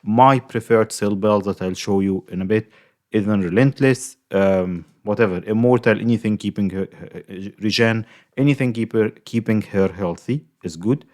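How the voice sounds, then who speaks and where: A man's voice: on the low side, moderate pace, darkish tone. A young man from Egypt with an Egyptian accent, casually talking through a mobile game guide in a rambling, chatty way full of fillers. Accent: Egyptian Arabic. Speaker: gaming YouTuber.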